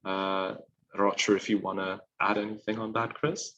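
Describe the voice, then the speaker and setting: A man's voice: on the low side, little pitch movement, moderate pace, a bit bright, lo-fi sound, faint music behind. A young man presenting an online talk over a video call, chatty and loose, with lots of "um"s and restarts as he speaks. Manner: casual, chatty, with frequent fillers and self-corrections. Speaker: alumni network project assistant presenting a webinar.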